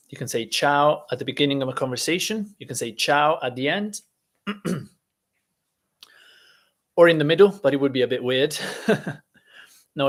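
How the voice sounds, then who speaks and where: A man who is a native Italian speaker, hosting a casual live-stream lesson, talking in a chatty, halting way with many fillers. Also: medium pitch.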